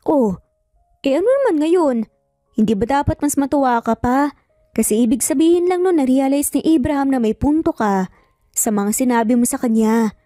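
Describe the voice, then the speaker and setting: A woman voicing a cheerful, nosy older sister in an audio story, asking animated, encouraging questions. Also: high-pitched, normal speed, long pauses, dry recording, studio quality, faint music behind.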